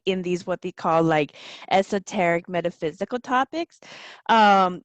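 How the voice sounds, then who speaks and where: A woman's voice: medium pitch, no echo. A woman hosting a conversational podcast interview, rambling a little and correcting herself as she goes. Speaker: podcast host.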